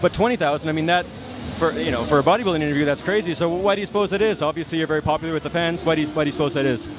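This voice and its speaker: Fast-talking young male expo interviewer. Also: fairly high pitch. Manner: chatty, repeats himself, long-winded questions. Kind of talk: casual interview hosting. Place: busy expo hall booth. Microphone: handheld mic. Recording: noisy trade show floor.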